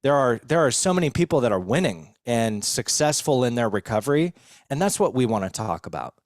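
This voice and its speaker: A man's voice: mid-range voice, very animated, brisk, dry recording, studio quality. A young American man talking earnestly and informally as a guest in a casual podcast conversation. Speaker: podcast guest, recovery video producer.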